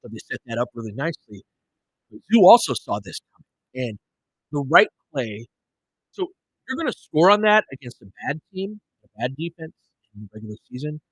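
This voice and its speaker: A man's voice: medium pitch, big pitch swings, brisk, slightly rough, no room sound, low-quality recording. A man giving live basketball commentary and analysis in an informal, conversational way. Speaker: play-by-play commentator and host.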